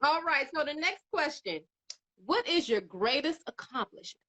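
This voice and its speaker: A woman host with a high, very lively voice that swoops up and down. She is bubbly and playful, teasing her guest and cheering on each answer in a casual live chat. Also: normal speed. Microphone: phone on a video call.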